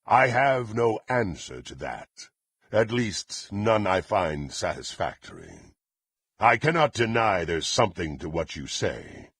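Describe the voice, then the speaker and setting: A proud, bloodthirsty warrior from a fantasy video game. His lowish voice is rough and gravelly, swinging widely in pitch as he delivers imperious threats in formal, archaic phrasing. The recording is dry and close. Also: sing-song, measured pace, a bit bright, no echo, full character voice. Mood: brooding. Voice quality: gravelly, husky. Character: menacing, proud, bloodthirsty warrior serving a dark patron.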